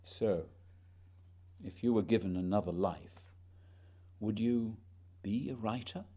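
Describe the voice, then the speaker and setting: A man's voice: fairly low pitch, slow pace, mellow, breathy edge. A man playing a proud, duty-bound polar explorer, solemn and questioning, in a radio play. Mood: doubtful, questioning.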